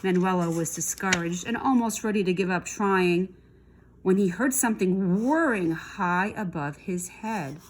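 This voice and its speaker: A woman's voice: on the low side, unhurried, background noise. A woman reading a children's story aloud expressively, with chatty asides to young listeners. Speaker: storybook reader.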